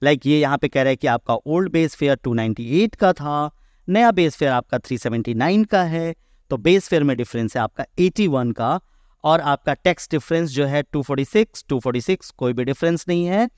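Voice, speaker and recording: A man with an Indian accent giving a step-by-step software lesson in an explanatory way, as an instructor. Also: a little high, animated, moderate pace, no echo, studio quality. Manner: explanatory, step by step, checking understanding. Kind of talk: software tutorial narration mixing Hindi and English.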